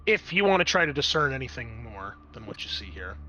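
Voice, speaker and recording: A man's voice: mid-range voice, big pitch swings, a little fast, highs cut off. A man running a tabletop roleplaying game as game master, improvising scene narration and explaining dice rolls.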